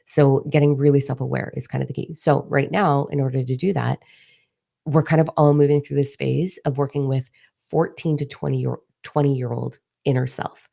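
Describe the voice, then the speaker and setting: Hoarse woman, candid and chatty. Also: rumbling low, mellow. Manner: candid, swears freely, chatty and confiding. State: hoarse, partly lost voice. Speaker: spiritual teacher. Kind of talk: casual spiritual talk to followers.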